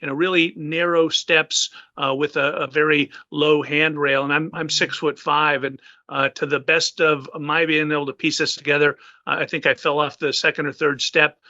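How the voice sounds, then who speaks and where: A man's voice: on the high side, little pitch movement, moderate pace, sharp tone, no echo, muffled recording. A man in his fifties, a retired naval officer, giving an interview and recounting events with many fillers and restarts. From United States. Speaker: guest.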